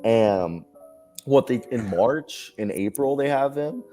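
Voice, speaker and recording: A young man co-hosting a live basketball stream, talking loudly and animatedly in casual chat and laughing often. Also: medium pitch, animated, takes it slowly, soft background music. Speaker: co-host of a live basketball show.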